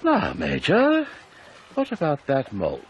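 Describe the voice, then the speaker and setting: An actor playing a possessive, sardonic older husband in a radio drama, English-accented, deliberate and theatrical in delivery. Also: male voice, a little high, wildly swooping pitch, lo-fi sound, exaggerated voice. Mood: mock-courteous. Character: pompous, possessive husband, sardonic country-house host. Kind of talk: 1990s radio drama acting.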